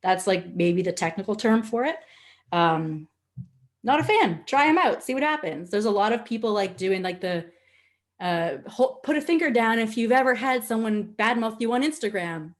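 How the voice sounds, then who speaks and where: A woman with a Nova Scotian Canadian accent teaching an informal online class in an animated, candid, jokey and chatty way. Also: a little high, moderate pace. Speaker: social media instructor. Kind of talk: informal webinar teaching with casual asides.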